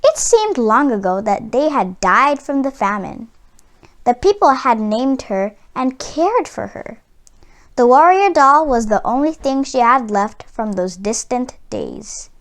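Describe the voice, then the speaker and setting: A woman reading a children's story aloud as scripted, expressive narration. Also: on the high side, measured pace. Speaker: storybook reader.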